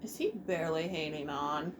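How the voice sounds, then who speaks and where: A woman's voice: low voice, moderate pace, noisy background. A woman reacting casually and live to a video game, exclaiming and swearing as she goes. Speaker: let's play streamer reacting to a game.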